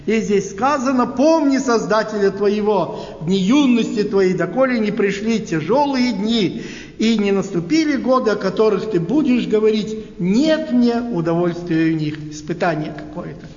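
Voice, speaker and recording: A man preaching a sermon in a halting, unhurried way, often asking his listeners rhetorical questions. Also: sits high, animated, a little mellow. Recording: echoey hall, dull lo-fi sound.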